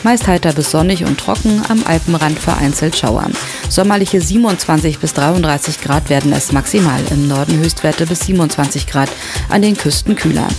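A woman reading radio news, sport and weather in a crisp, formal way. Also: low voice, a little fast, over music. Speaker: newsreader.

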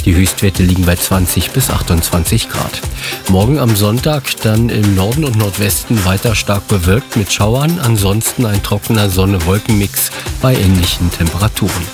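A man reading a radio news bulletin and weather forecast in a steady, formal way. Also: lowish voice, normal speed, music in the background. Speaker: newsreader. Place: radio studio.

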